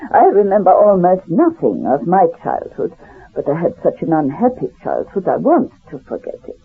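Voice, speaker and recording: An elderly Polish-accented refugee woman, wry and rambling, lively high pitch swings, heard on a tinny old radio tape. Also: slightly rough, full character voice. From Poland. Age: older. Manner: rambling, addresses listener directly, laughs at herself. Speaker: storyteller recounting her past. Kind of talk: 1970s radio drama monologue.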